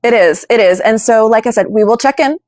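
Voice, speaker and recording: A woman in her forties hosts a casual political interview from home. Her voice sits somewhat high, and she drives her points home emphatically. The sound is dry and close. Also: fairly quick, a little mellow, no echo. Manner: emphatic, persuasive. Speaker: podcast host.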